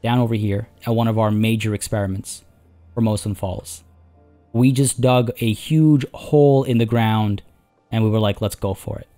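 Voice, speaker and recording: A man with a lowish, mellow voice, hoarse from hours of talking, rambles casually through a let's-play session. He often restarts his sentences and fills the gaps as he goes. Soft music plays faintly behind him. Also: fairly even intonation, moderate pace. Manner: rambling, chatty, many restarts and fillers. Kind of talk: casual gameplay commentary.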